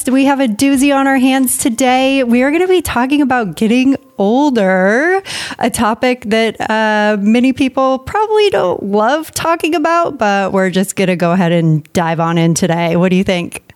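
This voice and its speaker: A woman's voice: high-pitched, normal speed. A woman in her late thirties co-hosting a podcast, chatting casually in an upbeat, enthusiastic way. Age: 30s. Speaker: podcast co-host. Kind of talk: casual chat.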